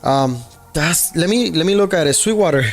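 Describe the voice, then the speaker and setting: A man's voice: a little high, expressive, moderate pace. A man from Puerto Rico chatting casually with his livestream viewers as a day trader, rambling and thinking aloud with lots of fillers. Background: faint background music.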